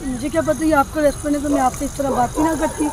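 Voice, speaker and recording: A woman in her twenties with a Pakistani Urdu accent talks fast in a high voice, polite and eager to agree. Street chatter surrounds her outdoors. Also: steady tone. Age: 20s. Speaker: prank target. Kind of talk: casual chat. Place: outdoor cafe table by a street.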